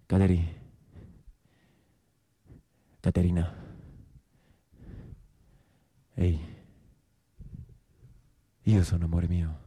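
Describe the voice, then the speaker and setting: A man's voice: low-pitched, level tone, takes it slowly, pauses often, warm tone, husky, no echo, exaggerated voice. An actor playing a tender brigand in prison, coaxing his beloved intimately. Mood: tender, soothing. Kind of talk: theatrical monologue.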